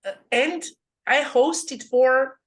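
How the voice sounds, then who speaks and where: Serbian lady hosting a podcast chat, english is her second language, lots of restarts and repeats. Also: high-pitched. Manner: halting, many restarts and repeated words. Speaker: podcast host. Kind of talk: casual interview chat.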